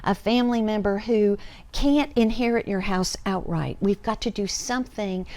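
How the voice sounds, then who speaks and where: An older woman, a guest on a TV studio talk show, explaining things in a measured way through a lapel mic. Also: medium pitch, darkish tone. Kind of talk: TV studio interview. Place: TV studio desk.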